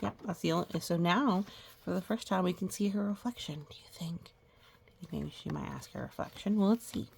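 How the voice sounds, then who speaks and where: A woman reading a picture book aloud to young children, gently pausing to explain things and ask questions. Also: on the low side, vocal fry, a little hiss or hum. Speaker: children's story reader.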